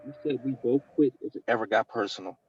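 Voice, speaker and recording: A man's voice: medium pitch, fast, dull audio, slight background noise, close to an everyday voice. A man reading the part of a worried army captain, earnestly pleading with his brother-in-arms in an urgent but restrained way. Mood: angry, urgent. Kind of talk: dramatic script table read.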